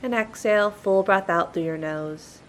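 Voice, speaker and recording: A woman's voice: medium pitch, normal speed, slight background noise. A woman leading a guided meditation in a soothing, instructional manner.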